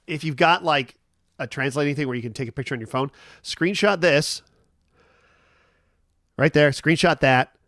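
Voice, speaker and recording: A man's voice: on the high side, normal speed, pauses often, a bit bright, dry recording, studio quality. A man hosting a casual morning livestream chat, stuffed up and nasal from a cold, rambling easily with lots of fillers and restarts. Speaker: livestream host.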